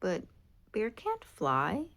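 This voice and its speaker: A woman gives voice to an eager young turtle boy from a storybook, bright and playful. His lines move slowly and swing widely up and down. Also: medium pitch, wildly swooping pitch, heavily acted.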